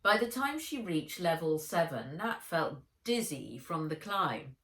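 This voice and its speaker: A woman author reading her children's adventure book aloud in an expressive storytelling style. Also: low voice, a little slow, far from the mic. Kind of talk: expressive children's audiobook narration. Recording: roomy, echoey room sound.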